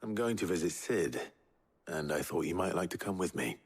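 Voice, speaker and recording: An actor playing a brooding, reserved fantasy hero in a game cutscene, terse and understated, with a husky, breathy voice. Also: male voice, low-pitched, animated, normal speed. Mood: calm, reserved. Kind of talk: low-key game cutscene acting.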